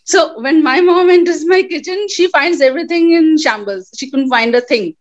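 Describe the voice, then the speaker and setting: A woman moderating a discussion, speaking thoughtfully with many pauses on a video call. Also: high voice. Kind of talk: casual discussion. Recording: dull lo-fi video call audio.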